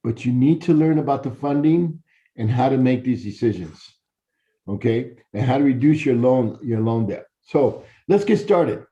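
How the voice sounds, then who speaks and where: A man's voice: medium pitch, animated, normal speed, dark tone. A middle-aged man from Chicago, a university professor telling his life story to students in a conversational, repeating way. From Chicago, Illinois. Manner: conversational, repeats words and restarts, direct address. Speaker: university professor giving a talk. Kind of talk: personal storytelling lecture to students. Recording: dull lo-fi recording.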